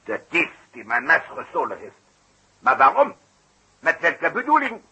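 A man's voice: on the high side, wildly swooping pitch, measured pace, sharp tone, breathy edge, heavily acted, low-quality recording. An actor playing a vain, hot-tempered male circus knife thrower who talks in a pompous, bossy way in a 1960s radio play. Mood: outraged.

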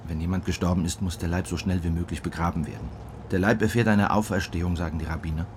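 A man's voice: deep voice, fairly even intonation, quick pace, darkish tone, slight background noise, natural voice. An actor playing a polite, earnest, down-on-his-luck karate master in a naturalistic radio drama. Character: broke, deferential, devout karate master.

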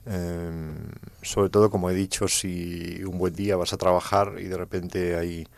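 A man answering an interview in a halting way, repeating small words as he thinks. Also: low-pitched, little pitch movement, no room sound.

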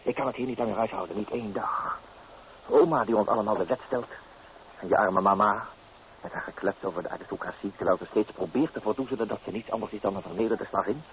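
An actor playing an impetuous, romantic young student lover, coaxing and dramatic, in a radio play. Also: male voice, fairly low pitch, fast, lightly acted. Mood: scornful. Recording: tinny, noisy old radio play.

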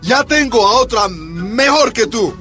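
An actor playing an angry, jealous, scornful Bolivian husband in a radio drama, confrontational and swearing. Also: male voice, very high voice, lively intonation, unhurried, sharp tone, soft background music, heavily acted.

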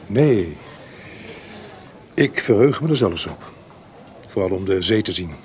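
Older male composer: fatherly, hospitable, lowish pitch, radio play. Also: animated, slow pace, a little mellow, a little hiss or hum. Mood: genial, contented. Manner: genial, hospitable. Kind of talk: Dutch radio drama acting.

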